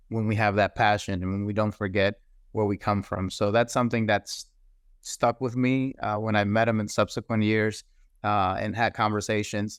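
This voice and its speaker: A man from the Dominican Republic, a cybersecurity executive, telling his story as an interview guest in a measured, earnest way and pausing often on "uh". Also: lowish voice, clear, resonant tone, no echo, clean studio sound. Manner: measured, earnest, pauses with frequent "uh". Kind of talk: reflective personal storytelling.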